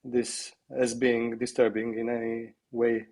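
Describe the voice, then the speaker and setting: Halting, slightly hoarse male presenter. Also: mid-range voice, monotone, a little mellow. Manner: halting, self-deprecating. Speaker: company co-founder presenting. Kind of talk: webinar presentation. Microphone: video call.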